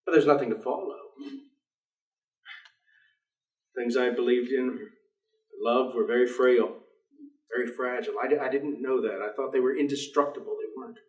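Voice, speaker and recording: A man's voice: medium pitch, steady tone, fairly quick, a little mellow, close to an everyday voice. A man acting in a staged play reading as a despairing, stubborn professor, speaking in formal, precise, clipped phrases. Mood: bleak, weary. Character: despairing, weary intellectual male professor, curt and stubborn. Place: theatre stage. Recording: distant, echoey theatre stage recording.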